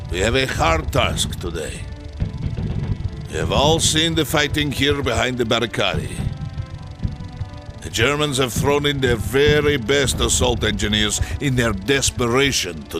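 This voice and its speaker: An actor playing a stern, rousing Soviet army commander, giving an emphatic battle briefing in a video game. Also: male voice, mid-range voice, expressive, measured pace, close to an everyday voice. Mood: grim, determined. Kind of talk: dramatic military briefing, video game cutscene. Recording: music behind the voice. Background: loud music.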